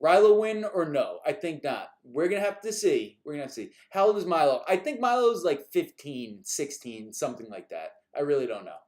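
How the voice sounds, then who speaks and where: A man's voice: on the high side, big pitch swings, fairly quick, few pauses, a little mellow. A man from New York chatting casually on a live stream, answering viewer questions in a rambling, chuckling way, a bit exasperated at repeat questions.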